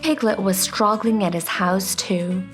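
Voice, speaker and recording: A woman's voice: mid-range voice, fairly even intonation, a little slow. A woman narrating a scripted children's bedtime story as the storyteller. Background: soft music.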